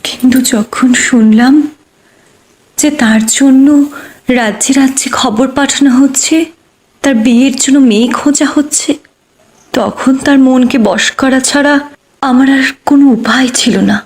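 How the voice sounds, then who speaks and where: A woman's voice: high voice, fairly even intonation, moderate pace, darkish tone. A woman voicing a lovestruck young enchantress in a fairy-tale cartoon, earnest and tender. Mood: earnest confession.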